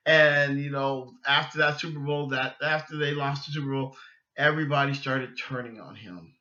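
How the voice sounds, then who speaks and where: A man's voice: medium pitch, moderate pace, bright, forceful tone, muffled recording. A man hosting a sports podcast, giving casual, opinionated fan talk in a rambling way, often restarting and repeating his phrases.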